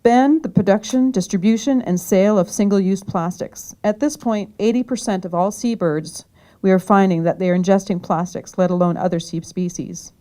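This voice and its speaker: A woman's voice: medium pitch, normal speed, dark tone. A woman candidate giving an earnest, prepared campaign speech, reading from notes. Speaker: political candidate. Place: community hall.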